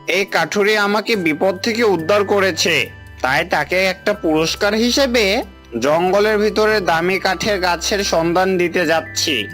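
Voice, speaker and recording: Casting: voice actor playing an eager cartoon fox, mid pitch, music behind. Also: unhurried, a bit bright, close to an everyday voice. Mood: grateful. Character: clever fox, also a greedy boy. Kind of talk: cartoon voice acting.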